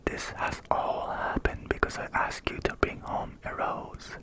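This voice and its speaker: A storyteller voicing a gentle, steadfast young woman in a soft, earnest ASMR whisper. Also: a little slow, natural voice. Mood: concerned.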